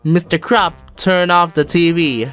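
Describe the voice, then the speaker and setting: A woman's voice: fairly low pitch, unhurried, faint music behind. Woman reading a storybook aloud. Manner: lively, expressive read-aloud. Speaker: narrator.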